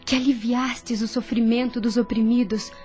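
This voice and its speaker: A woman playing a devout, caring young woman at a sickbed in an audio drama, gentle, earnest and consoling. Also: on the high side, fairly even intonation, moderate pace, natural voice. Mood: prayerful. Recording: soft music behind voice.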